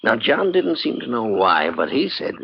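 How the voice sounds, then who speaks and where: An actor playing a gruff, blunt outlaw in an old radio drama, with a gravelly voice. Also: male voice, on the low side, big pitch swings, moderate pace, exaggerated voice. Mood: troubled, suspicious. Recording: dull, noisy old radio recording.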